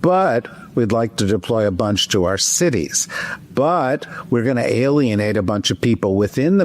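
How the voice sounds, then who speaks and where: A man's voice: lowish voice, a little mellow. A man, a columnist guest in an interview, giving emphatic, wry political commentary with frequent fillers and stumbles.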